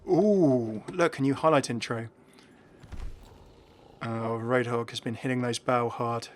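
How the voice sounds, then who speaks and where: A man's voice: medium pitch, slow, a little hiss or hum. Enthusiastic casual gaming commentator guy. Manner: enthusiastic, chuckling, informal. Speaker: video creator presenting game content.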